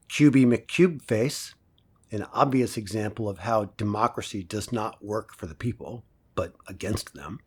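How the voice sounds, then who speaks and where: Lecturing professor, medium pitch, deliberate, philosophical, rhetorical pauses, audio drama. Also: male voice, husky.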